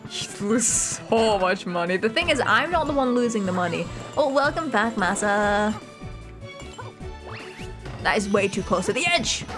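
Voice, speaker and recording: A young woman from the north of England with a softened northern accent, chatting casually and giggling as a streamer while she plays and reads chat aloud. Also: mid-range voice, animated, unhurried. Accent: Northern English, softened. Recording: game music behind the voice.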